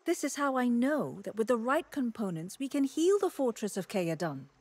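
A woman's voice: fairly high pitch, sing-song, moderate pace, darkish tone, breathy edge, no room sound. A woman playing an eager, thoughtful alchemist in a fantasy game, explaining things expressively and reflectively. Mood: earnest, reflective. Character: eager, thoughtful female alchemist, devoted to nature. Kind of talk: scripted game dialogue.

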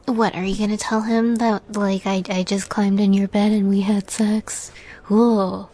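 A woman playing a shy young catgirl roommate in an intimate roleplay audio, halting and nervous with a playful streak, often softening to a near whisper. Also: mid-range voice, little pitch movement, close to an everyday voice. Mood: flustered.

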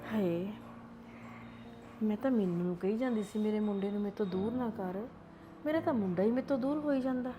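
Actress as an older grandmother, tender and pleading, voice pitched a touch high. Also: moderate pace, pauses often, dull audio, over music, lightly acted. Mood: despairing. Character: remorseful loving grandmother, older woman. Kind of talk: family drama acting.

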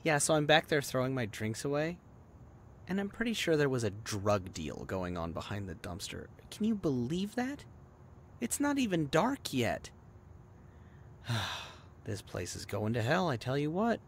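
An animated young adult man voicing a protective, judgmental friend in an audio drama. The delivery is casual and blunt, with lively pitch swings over faint ambient noise. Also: unhurried. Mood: disgusted. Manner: blunt, sarcastic, chatty. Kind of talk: roleplay audio drama, conversational acting.

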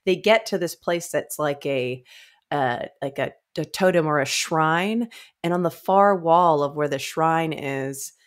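A woman's voice: deep voice, unhurried. A young woman co-hosting a book club show, recapping a story in casual chat and slipping into mock dialogue, on a close desk microphone. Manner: acts out characters' lines in mock dialogue. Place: small room at home, on a video call.

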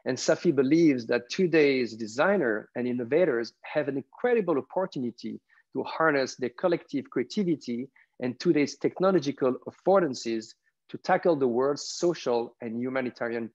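A man's voice: medium pitch, normal speed, a little mellow. Man hosting a webcast, dull call audio. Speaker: webcast host. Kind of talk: conversational interview with a prepared introduction. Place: small furnished room at home. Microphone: wireless earbuds on a video call.

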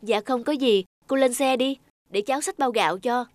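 Woman acting considerate young woman, higher voice. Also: normal speed, darkish tone, no echo, clean studio sound, close to an everyday voice. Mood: caring. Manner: polite, attentive, caring. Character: helpful, considerate young female office worker. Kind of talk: cartoon dialogue acting.

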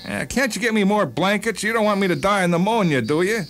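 A man's voice: high voice, fast, fairly bright tone, soft background music. A man playing a cranky middle-aged store owner who grumbles and complains irritably in a radio-style story. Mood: irritable complaining. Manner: irritable, grumbling, hesitant starts.

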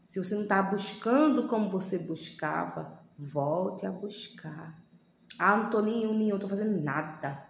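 A woman's voice: medium pitch, vocal fry. Fervent woman preaching into her phone. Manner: fervent, pleading, often slow and emphatic. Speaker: lay preacher. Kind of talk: devotional sermon to camera. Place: small room indoors. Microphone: phone camera at arm's length. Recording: echoey room with faint background hum.

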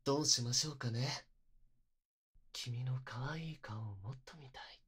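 A young man playing a polite, unstable, possessive yandere captor in an intimate ASMR roleplay, speaking softly and breathily into a close binaural microphone. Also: medium pitch, a little slow. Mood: tender teasing. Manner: soft, breathy, polite speech, often whispering. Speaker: voice actor in situation audio.

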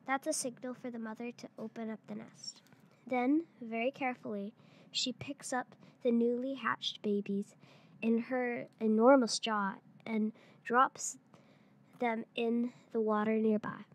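A girl of about eight or nine, a third-grade pupil, reading a picture book aloud in a halting way. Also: fairly high pitch, fairly even intonation. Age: child, around eight or nine.

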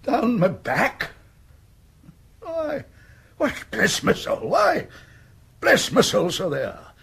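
A muddled old earl of the English upper class for radio comedy. The voice is high, very animated and gravelly. He blusters, grumbles and repeats himself forgetfully. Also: slow pace, full character voice. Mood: flustered, grateful. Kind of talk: radio comedy drama acting.

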